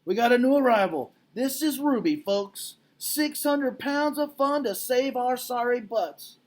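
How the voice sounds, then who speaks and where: Man putting on a loud, high-pitched brash showman. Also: lively intonation, a little slow, heavily acted. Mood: brash, hyping. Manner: shouting showman hype. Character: loud, brash animal show owner, a man. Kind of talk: storybook character read aloud.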